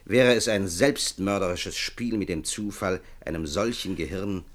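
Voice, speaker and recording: An actor playing a grave, cautious scientific authority in a radio play, speaking formally and with emphasis. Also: male voice, low voice, unhurried. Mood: grave warning. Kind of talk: German science-fiction radio play acting.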